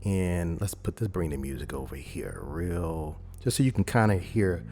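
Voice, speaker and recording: Low-voiced man hosting a casual tutorial. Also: animated, normal speed, mellow, slight background noise. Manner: rambling, conversational, informal. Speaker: video editing tutorial host. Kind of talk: casual software tutorial talk.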